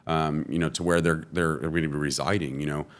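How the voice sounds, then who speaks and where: A man from California, a guest talking casually in an interview, relaxed and full of "you know" fillers. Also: extremely low pitch, a little fast. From California, USA. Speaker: guest, entrepreneur.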